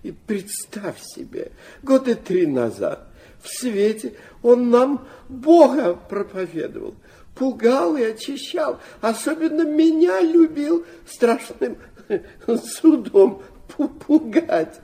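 Radio drama, flighty elderly prince, high-pitched and creaky, rambling fondly with bits of French. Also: male voice, very animated, slow pace, dark tone, heavily acted. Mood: rambling, animated. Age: older. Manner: hesitant, rambling, stammering, drops in French phrases. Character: doting, rambling old Russian prince, sentimental and flighty. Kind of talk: radio play acting.

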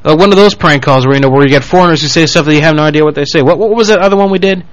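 Fast-talking crude radio host, man. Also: fairly high pitch, dry recording, muffled recording. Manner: fast, crude, joking rants. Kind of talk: casual radio banter.

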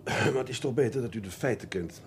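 An actor playing a grave, blunt but decent police detective in a radio drama, measured and polite in manner. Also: male voice, mid-range voice, a little hiss or hum, close to an everyday voice. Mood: grave, hesitant. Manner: measured, polite, hesitant before bad news. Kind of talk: Dutch radio play acting.

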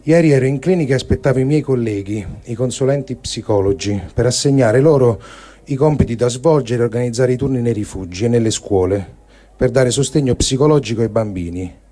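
A man giving a grave, deliberate dramatic reading of a written diary, phrase by phrase. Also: medium pitch, mellow. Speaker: reader of written testimonies.

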